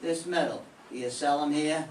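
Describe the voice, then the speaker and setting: A man's voice: a little high, steady tone, moderate pace, a little mellow, lightly acted. An older man playing a dry, sardonic, hard-boiled male private detective who also narrates, in an amateur 1940s-style radio play. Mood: questioning. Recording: echoey room from far off, faint hiss.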